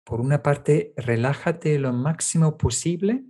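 A man leading a guided meditation, giving calm step-by-step instructions with small checking tags in a gentle manner. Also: fairly high pitch, measured pace, slightly rough. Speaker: meditation teacher.

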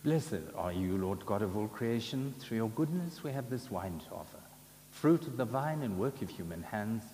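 Old priest guy in his 70s praying at mass, solemn and measured, lowish voice, big echoey chapel. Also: expressive, dark tone. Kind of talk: liturgical prayer. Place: large brick chapel, at altar and lectern. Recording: echoey chapel with long reverb, background noise.

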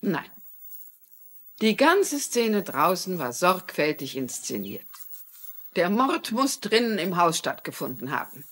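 Eine Schauspielerin spielt eine kluge, selbstsichere Amateurdetektivin mittleren Alters in einem synchronisierten Fernsehkrimi, bestimmt und logisch argumentierend. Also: female voice, on the low side, sing-song, slow pace, a bit bright, exaggerated voice. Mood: reasoning. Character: shrewd, self-assured middle-aged lady sleuth. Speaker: lead actress. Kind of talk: German-dubbed TV mystery dialogue.

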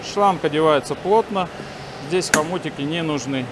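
A man in his forties giving a halting, step-by-step hands-on demonstration while he works. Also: fairly high pitch. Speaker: instructor demonstrating sawmill assembly. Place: large factory workshop hall, indoors. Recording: noisy industrial workshop ambience. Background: clear workshop noise.

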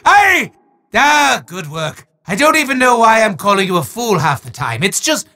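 Evil wizard for a game: high, piercing, forceful delivery, hammy and grandiose, maniacal villain with chatty asides. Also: male voice, very animated, vocal fry, exaggerated voice. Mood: chatty, sheepish. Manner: grandiose, theatrical, booming declarations dropping into fast asides. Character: bombastic, maniacal, hard-up male evil wizard. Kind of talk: theatrical video game villain voice lines.

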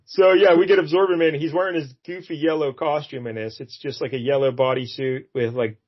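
A man's voice: on the high side, fairly even intonation, a little fast, muffled recording. A man co-hosting a podcast, chatting casually about a comic book with lots of fillers and chuckles. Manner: rambling, many fillers, chuckles. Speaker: podcast co-host.

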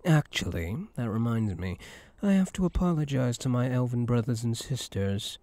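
A man's voice: medium pitch, animated, dark tone, no echo, natural voice. A man playing a cocky, possessive elf hunter in an intimate ASMR roleplay, smug, teasing and seductive. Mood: confiding, possessive. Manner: smug, teasing, slow and deliberate. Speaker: voice actor in audio roleplay.

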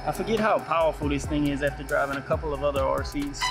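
A man's voice: a little high, fairly even intonation, quick pace, creaky, music in the background. A man from the United States, giving casual vlog commentary as a hobbyist. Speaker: hobby RC car vlogger.

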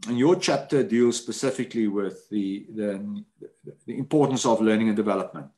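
A lowish male voice moderating a panel on a video call. He speaks in a halting way, restarting phrases and filling gaps with "uh" and "um" as he builds up to his question. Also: mellow. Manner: halting, with many fillers and repeated words. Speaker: moderator of a book launch panel.

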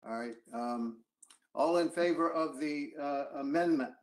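Halting chairman on a video call. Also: fairly high pitch. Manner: halting, hesitant. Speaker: council chairman running a meeting. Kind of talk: formal meeting procedure. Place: indoors at home or office on a video call.

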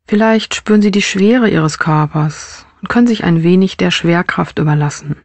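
A woman narrating a guided body scan meditation as a mindfulness teacher, with measured, gentle instructional phrasing. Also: fairly low pitch, no room sound.

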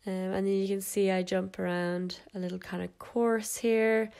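A woman's voice: mid-range voice, level tone, normal speed, creaky, darkish tone. A young woman, stuffed up and nasal from a cold, casually narrating videos of her horse. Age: young adult. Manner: casual, chatty, with fillers and little laughs. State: congested with a cold. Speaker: equestrian vlogger.

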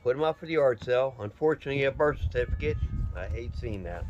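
A man's voice: mid-range voice, animated, fast talker, highs cut off. Middle-aged man, western pennsylvania accent, folksy chatter, noisy storage unit. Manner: folksy, chatty, talks to viewers as guys. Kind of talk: casual unboxing commentary. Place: cluttered storage unit, indoors.

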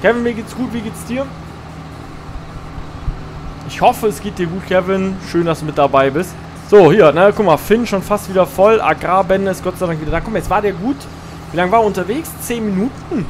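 A man's voice: high-pitched, lively intonation, brisk, background noise. A man, streaming a farming game live, greeting viewers and answering chat as he plays. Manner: chatty, answers chat messages while playing.

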